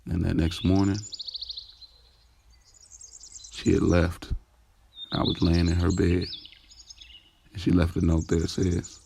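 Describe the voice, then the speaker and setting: A man's voice: low voice, completely flat delivery, measured pace, gravelly, mellow, a little hiss or hum. A man playing a smitten driver in an audio drama, candidly recounting his night in informal, slangy first-person storytelling. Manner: informal, slangy, acting out dialogue. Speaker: narrator and lead character of an audio drama.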